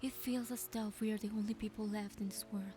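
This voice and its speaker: An actress playing a gentle, affectionate young heroine, sweet and wistful, speaking and narrating in a romance game. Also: female voice, medium pitch, steady tone, fast, music in the background, lightly acted. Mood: soft, dreamy. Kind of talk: romance game voice acting and first-person narration.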